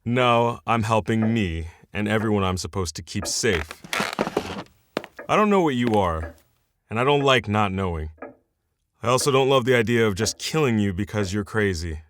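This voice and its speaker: A guarded, commanding leader of survivors in an audio drama. The voice is on the low side, blunt and measured, like someone weighing a threat. A faint noise sits behind it. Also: male voice, expressive, fairly bright tone. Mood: firm, guarded. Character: wary, commanding leader guarding a post-war bank community.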